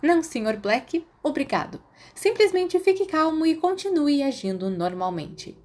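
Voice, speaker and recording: Stern, weary schoolmistress for a fantasy audiobook, played by a woman, thin strained tone, raised pitch. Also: big pitch swings, moderate pace, darkish tone. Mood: firm, tired. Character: stern, tense, weary older female professor at a magic school.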